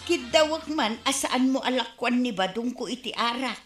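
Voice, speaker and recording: A woman's voice: fairly high pitch, animated, moderate pace, echoey room, soft background music, lightly acted. A woman playing a nagging, fed-up wife of a drunkard in an Ilocano radio comedy drama, complaining and reproachful.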